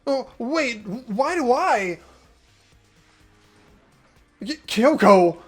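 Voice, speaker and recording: A woman's voice: on the high side, animated, drawn-out words, slightly rough, faint music behind, exaggerated voice. A woman playing an earnest, flustered teenage student in a game, stammering and pleading urgently. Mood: desperate. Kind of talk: hobby game voice acting.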